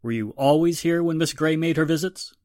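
A man playing a businesslike police inspector, forceful in questioning, in a 1940s radio drama style. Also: fairly high pitch, fairly quick, no room sound, clean studio sound, close to an everyday voice. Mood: probing.

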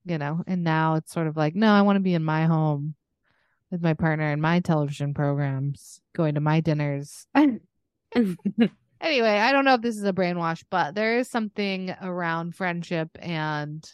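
American woman in her 30s, lowish smooth voice, rambly podcast chat, dry sound. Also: normal speed, no room sound. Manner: chatty, rambling, often laughing. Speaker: podcast co-host. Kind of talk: casual chat.

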